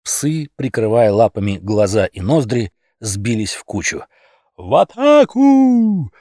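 Husky male storyteller, dry close sound. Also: lowish voice, a little slow, warm tone, no echo. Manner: theatrical, dramatic storytelling. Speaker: audiobook narrator. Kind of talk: expressive scripted narration of humorous animal tale.

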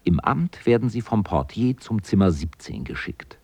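A man's voice: on the low side, very animated, normal speed, mellow, slight background noise. A man narrating a scripted children's radio play as an observant storyteller.

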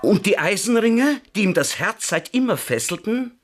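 An actor playing a wry crime-tale narrator in a radio drama, deliberate and relishing each short phrase. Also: male voice, sits high, sing-song, over loud music. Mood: rapt, lyrical. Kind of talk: scripted first-person radio drama narration.

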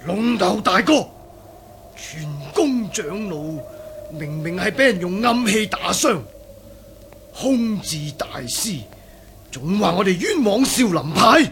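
A man with a Cantonese accent playing an indignant, confrontational martial arts fighter, deliberate, in a radio drama. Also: high voice, lively intonation, fairly bright tone, a little hiss or hum, heavily acted.

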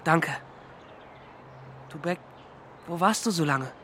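A man's voice: a little high, animated, slow, fairly bright tone, slight background noise. An actor playing a brash but contrite teenage boy in an audio drama. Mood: earnest, forceful.

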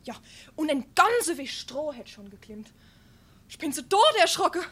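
A woman's voice: sits high, very animated, normal speed, a bit bright. An actress playing a curious, gossiping neighbour woman in a dialect stage comedy, with a Darmstadt Hessian accent. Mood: curious, alarmed. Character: Evche, gossiping female neighbour, breathlessly curious.

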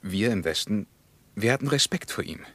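An actor playing a skeptical, probing West German man in a radio play, speaking in a deliberate, pointed way. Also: on the low side, expressive, sharp tone, no echo. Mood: measured, critical.

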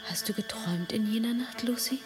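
An actress playing a thoughtful, watchful young Victorian gentlewoman, narrating a diary in a radio play in a hushed, measured way. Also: a little high, over music, natural voice. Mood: hushed, uneasy.

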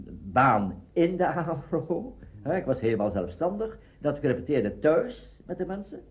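An older man telling anecdotes as an interviewee, animated and conversational. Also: medium pitch. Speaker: interviewee, veteran radio actor. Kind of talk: anecdotal reminiscence. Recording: dull archive interview recording.

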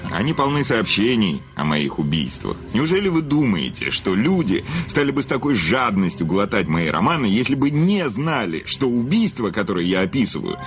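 An actor playing a famous crime novelist in an audio drama, an urbane, cynical self-confessed murderer who talks grandly, with ironic hesitations. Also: male voice, fairly low pitch, very animated, moderate pace, few pauses. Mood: proud, cynical. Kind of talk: theatrical audio drama dialogue.

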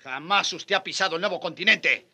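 A man's voice: high-pitched, fairly quick, sharp tone, slightly rough, full character voice. A man playing a passionate, sardonic Spanish friar who argues with challenging questions in a radio drama. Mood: defiant. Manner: impassioned, challenging, mocking rhetorical questions.

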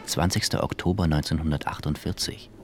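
A man's voice: rumbling low, fairly even intonation, brisk, loud music behind the voice. A man making terse scripted announcements as the announcer. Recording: loud noise and clicks.

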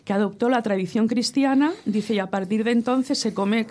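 A woman's voice: on the high side, normal speed, a little mellow. A woman co-hosting a casual talk show, explaining food history in a chatty way, often checking in with rhetorical questions.

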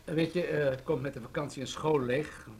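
An actor playing a jovial, evasive middle-aged government official in a radio play, bantering and slightly patronising. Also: male voice, on the high side, steady tone, moderate pace, vocal fry, darkish tone. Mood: hedging, earnest. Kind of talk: Dutch radio play acting. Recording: noisy old radio drama recording.

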